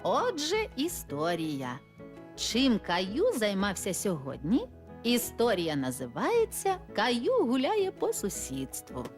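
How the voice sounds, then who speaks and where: A woman playing a gentle storyteller, narrating a children's cartoon in an expressive storybook manner. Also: mid-range voice, takes it slowly. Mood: gentle storytelling. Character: gentle storyteller narrating a small boy's day. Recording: music behind the voice.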